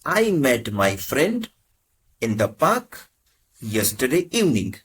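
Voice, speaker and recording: A man teaching as a language tutor, reading short prompts in slow, measured phrases. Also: medium pitch, very animated, a bit bright, husky. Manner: measured, carefully spaced phrases. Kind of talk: slow, deliberate lesson prompts.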